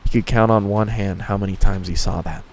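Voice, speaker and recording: A man's voice: lowish voice, fairly even intonation, fairly quick, darkish tone. A man reading scripted fan fiction narration as the storyteller.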